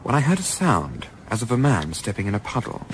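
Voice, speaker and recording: Low-voiced English gentleman narrator, played by a man, lively delivery. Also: slight background noise, low-quality recording. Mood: reflective. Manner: measured, literary, wry. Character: reflective English gentleman narrator recalling his sailing adventure. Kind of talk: scripted radio play narration.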